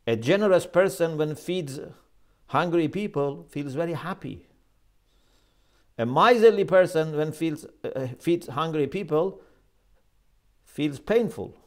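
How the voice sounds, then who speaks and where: A middle-aged man gives a religious lecture in an earnest, emphatic manner, speaking into a lapel mic in a small office. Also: a little high. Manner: earnest, emphatic, gestures with his hands. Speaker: Islamic scholar lecturing.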